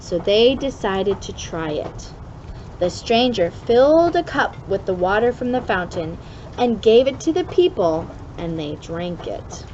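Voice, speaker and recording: Lady reading a kids book out loud, really up and down voice, kinda muffled with noise behind her. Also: medium pitch, big pitch swings, unhurried. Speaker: storybook reader for a kids club. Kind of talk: expressive read-aloud of a children's allegory.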